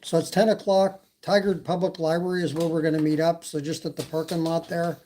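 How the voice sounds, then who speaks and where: A man's voice: fairly high pitch, flat delivery, brisk, warm tone, a little hiss or hum. A man from Canada with a slight Canadian accent, hosting a casual e-bike live stream and chatting with viewers, with lots of fillers. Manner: rambling, many fillers, reads chat. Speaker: e-bike channel host.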